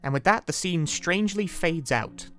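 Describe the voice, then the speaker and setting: A man's voice: high-pitched, lively intonation, normal speed, fairly bright tone, soft background music. A man narrating a scripted video essay as its host, with wry, dry humor and casual asides.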